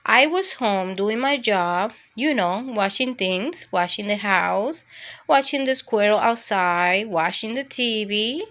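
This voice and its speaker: A woman's voice: medium pitch, lively intonation, slow pace, a bit bright. A woman voicing a mischievous, adventure-hungry dog who tells its own storybook escapade. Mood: cheeky, excited. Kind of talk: storybook first-person narration.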